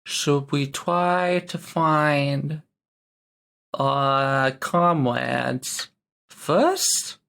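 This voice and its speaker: A player chats casually during a tabletop role-playing game. The voice is mid-pitched and slow, with a tentative manner of thinking aloud. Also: gravelly, fairly bright tone, clear, resonant tone.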